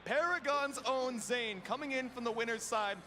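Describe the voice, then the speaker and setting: A man doing energetic, slangy live play-by-play commentary on a fighting game. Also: very high-pitched, normal speed, a little hiss or hum. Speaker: esports commentator.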